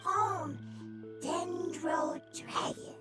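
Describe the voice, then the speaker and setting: Game creature: tiny timid fungus, extremely high childlike voice, very slow broken phrases, music behind. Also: female voice, full character voice. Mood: earnest. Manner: halting, broken speech in short fragments. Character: small, timid mushroom creature, childlike and earnest. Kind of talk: video game character acting.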